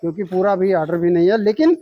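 A man's voice: sits high, sing-song, fast, no echo, muffled recording. A man hosting a farm video, asking about the cows and agreeing often with short replies. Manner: agreeable, frequent short affirmations. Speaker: agriculture channel host. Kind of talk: on-farm interview.

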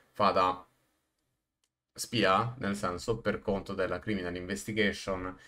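A man giving casual reaction commentary, halting and repeating words for emphasis. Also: fairly low pitch, fairly bright tone. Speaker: streamer reacting to a game trailer. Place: small room indoors.

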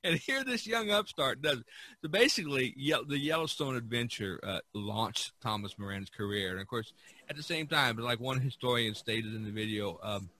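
A man's voice: mid-range voice, moderate pace, poor audio. A man hosting a casual podcast discussion, telling stories with enthusiasm. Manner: enthusiastic, animated storytelling. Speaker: podcast host. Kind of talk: casual art history discussion.

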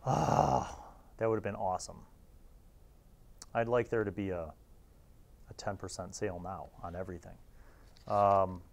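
A man, hosting a casual review in an informal, halting way and sometimes reading aloud. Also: sits low, gravelly. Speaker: host reviewing old gaming magazines.

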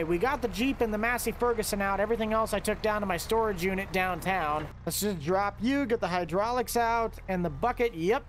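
A man giving casual, chatty commentary over his gameplay, talking straight to his viewers with excitable outbursts. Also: high voice, a little hiss or hum. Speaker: gameplay video host.

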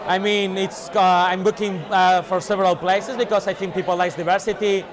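A man with a Spanish accent talks casually in a high-pitched voice, feeling for his words as he goes. He wears a lapel mic in a busy venue, with clear crowd chatter behind him. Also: steady tone, a little fast. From Spain. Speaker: meetup organiser. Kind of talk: casual interview answers. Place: large busy indoor venue. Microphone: clip-on lapel.

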